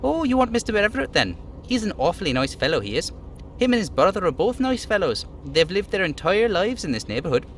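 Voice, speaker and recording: A man's voice: high voice, very animated, a little fast, a bit bright, slight background noise, voice pushed up, exaggerated voice. A man voicing a simple, friendly little dock worker from a video game, chattering in a naive, folksy way. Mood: eager, friendly.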